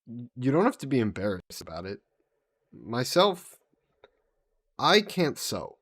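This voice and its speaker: A man voicing a vain sea monster who poses as a mannered gentleman in a roleplay audio drama, speaking in suave, formal phrases. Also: mid-range voice, very animated, measured pace, breathy edge, no echo, studio quality, exaggerated voice. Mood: playful, flirty. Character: flirty, vain sea monster posing as a gentleman traveler, turning menacing.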